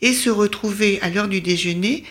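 A woman's voice: medium pitch, moderate pace, noisy background. A woman speaking as an interview guest, presenting an event programme in a conversational radio style.

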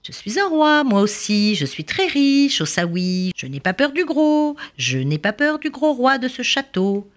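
A woman's voice: high voice, very animated, unhurried, few pauses, voice pushed up. A woman doing a cheeky sing-song little bird. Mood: taunting. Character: small cheeky chattering bird, mocking and bold.

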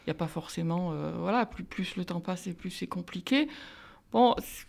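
A woman's voice: lowish voice, moderate pace, creaky, darkish tone. A woman novelist, speaking thoughtfully in a conversational interview, often restarting her sentences as she reflects. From France, of Italian descent. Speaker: novelist guest.

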